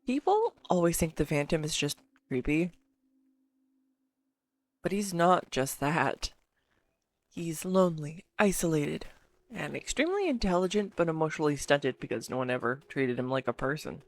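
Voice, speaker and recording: Girlfriend roleplay, female performer as excitable, devoted geek partner; deep-ish female voice, enthusiastic, halting, intimate one-to-one address. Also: dry recording. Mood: earnest, absorbed. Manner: earnest, enthusiastic info-dumping with halting pauses. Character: excitable, affectionate neurodivergent girlfriend gushing over her favourite film. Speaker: amateur voice actor. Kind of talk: scripted roleplay audio monologue spoken to a listener.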